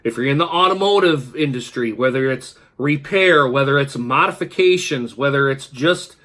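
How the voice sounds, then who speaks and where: A man's voice: mid-range voice, normal speed, sharp tone. A man in his thirties, congested with the flu, talking casually to camera from his kitchen and rambling with many fillers and restarts. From Michigan. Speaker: YouTuber. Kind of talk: casual talking-head vlog. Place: kitchen at home, indoors.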